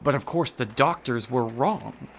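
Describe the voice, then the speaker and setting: A man's voice: medium pitch, animated, a little slow, a little hiss or hum. An actor, a man, playing an earnest and stubborn believer in unseen horrors in a radio drama, articulate and insistent.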